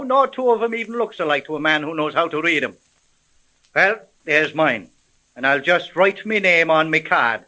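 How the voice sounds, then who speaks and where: A hard-boiled police sergeant in an old radio serial. His voice is high and bright, and he gives orders in brusque slang with easy authority. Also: poor audio. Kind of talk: 1930s radio drama acting.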